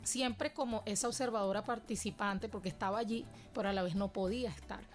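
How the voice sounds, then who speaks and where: A woman's voice: mid-range voice, fairly even intonation, brisk, soft background music. A Venezuelan woman answering interview questions about her dance classes, earnest and reflective in long flowing sentences. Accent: Venezuelan Spanish. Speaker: dance teacher and cultural guest.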